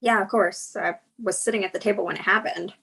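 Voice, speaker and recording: A woman's voice: mid-range voice, steady tone, quick pace, vocal fry, fairly bright tone, husky. A woman playing an exasperated girlfriend in a comedy table read, cutting, blunt and dismissive. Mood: accusing, dismissive. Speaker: actor in a screenplay table read.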